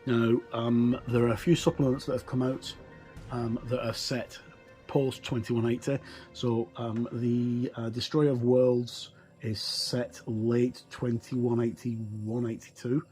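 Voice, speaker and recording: A middle-aged man giving a casual, halting, explanatory commentary as he looks through a tabletop game book. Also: medium pitch, little pitch movement, mellow, soft background music.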